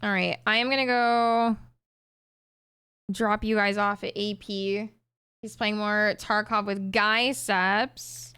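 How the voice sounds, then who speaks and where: A woman streamer, winded and slightly hoarse from reading aloud, chatting casually with many ums and repeated words. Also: medium pitch, fairly even intonation, slow pace, sharp tone, no echo, studio quality. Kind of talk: casual stream sign-off chat.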